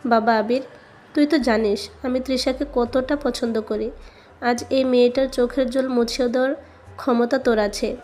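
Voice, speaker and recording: Woman acting highish-voiced, imploring mother. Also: steady tone, normal speed, soft background music, lightly acted. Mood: gentle pleading. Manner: gentle, imploring. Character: tearful, pleading middle-aged mother. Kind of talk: romantic audio story acting.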